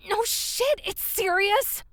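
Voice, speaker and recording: An actress playing a loyal, feisty young woman fighter in a visual novel game, with expressive, emphatic delivery. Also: very high-pitched, takes it slowly, no echo, exaggerated voice. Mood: alarmed, urgent.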